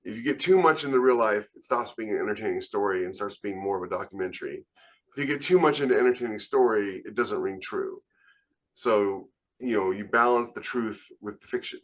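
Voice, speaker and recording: Man, slightly low pitch, casual podcast discussion, emphatic, opinionated, long-winded points. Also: fairly quick, a bit bright. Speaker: podcast co-host and military sci-fi author.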